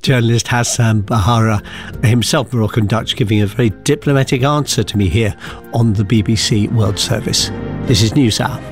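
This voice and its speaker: A man presenting a radio news program, asking measured, probing questions in an interview. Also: on the low side, over music.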